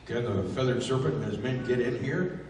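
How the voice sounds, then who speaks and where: A man's voice: medium pitch, a little fast, a little mellow, a little hiss or hum, lightly acted. A man playing a plain-spoken, loyal tough-guy sidekick in a 1930s radio adventure, blunt and folksy. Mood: wary, blunt. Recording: echoey hall, distant microphone.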